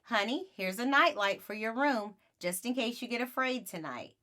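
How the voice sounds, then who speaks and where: A woman voicing a caring, patient mother in a storybook, reassuring and gently coaxing. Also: fairly high pitch, animated, moderate pace, voice pushed up.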